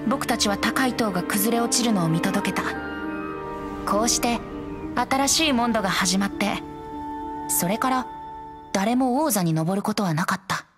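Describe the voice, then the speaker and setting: A woman voicing a youthful, boyish wind spirit bard in an anime game, gentle and wistful, with lyrical storytelling phrasing. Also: mid-range voice, close to an everyday voice. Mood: solemn, stirring. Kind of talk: anime video game voice acting, poetic narration.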